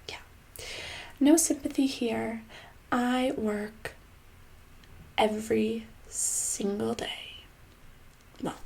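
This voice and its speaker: A woman playing a controlling, passive-aggressive boss in a soft-spoken ASMR roleplay, breathy and slipping into whispers, condescending and casually menacing. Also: fairly high pitch, poor audio. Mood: smug, unsympathetic. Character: controlling, passive-aggressive female boss holding an employee hostage.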